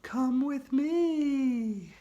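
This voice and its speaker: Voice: high-pitched, lively intonation, drawn-out words, warm tone, dull audio, pitched above the performer's own voice, full character voice. A reader voicing the spooky, cackling ghost of a young girl in a storybook read-aloud, drawing out her taunting words.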